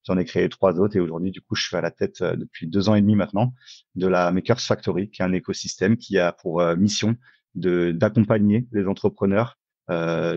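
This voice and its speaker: A man's voice: deep voice, vocal fry, darkish tone, no room sound, highs cut off. A man, introducing himself casually as a podcast guest. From Paris, France. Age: 20s. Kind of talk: casual interview self-introduction.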